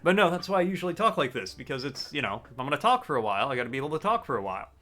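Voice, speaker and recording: A man live-streaming a game, chatting casually with his viewers in a deliberately raised, customer-service-style register. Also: mid-range voice, big pitch swings, pushed and bright, faint music behind. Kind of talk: casual live-stream chat while gaming.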